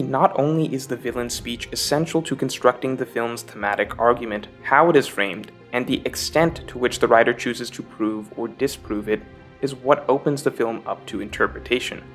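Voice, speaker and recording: Male video essay narrator, mid-pitched, measured and emphatic, scripted analysis with faint guitar music behind.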